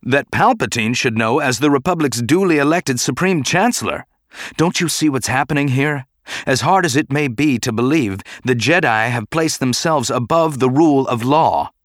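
Man voicing middle-aged male senator, mellow, hot-tempered, forceful, rhetorical, dramatic audiobook, dry sound. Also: on the low side, expressive, normal speed, no echo. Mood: angry. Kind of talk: dramatic audiobook character acting.

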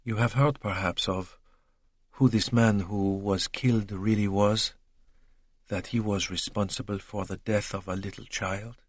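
A man voicing a calm, courteous middle-aged male detective who questions in a gentle, reassuring way in an audiobook. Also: on the low side, unhurried, dry recording. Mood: probing.

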